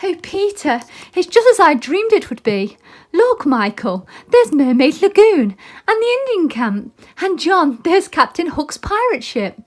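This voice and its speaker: A woman's voice: extremely high pitch, sing-song, measured pace, pitched above the performer's own voice, exaggerated voice. A woman voicing an earnest, trusting young girl full of wonder in a children's storybook. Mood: thrilled wonder. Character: excited, trusting young girl, eldest sister.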